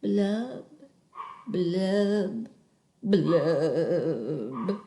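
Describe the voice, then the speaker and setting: A woman voicing a gloomy, sulky male fish in a storybook, in a slow, mopey sing-song. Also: a little high, very animated, creaky, a little hiss or hum, heavily acted. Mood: glum, mopey.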